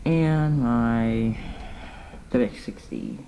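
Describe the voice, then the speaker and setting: A man's voice: mid-range voice, very slow, vocal fry, warm tone. Offhand guy, noisy homemade recording. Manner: rambling, offhand. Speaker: radio collector showing his collection. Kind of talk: casual handheld show-and-tell narration. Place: indoors, room at home with radios. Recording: noisy amateur room recording with clicks.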